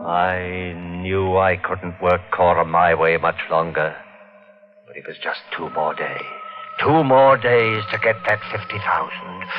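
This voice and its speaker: An actor playing a greedy murderer who narrates his own crime in a 1950s radio drama, smooth and menacing. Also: sits low. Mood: brooding narration. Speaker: narrator and lead. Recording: dull old radio recording with faint hiss.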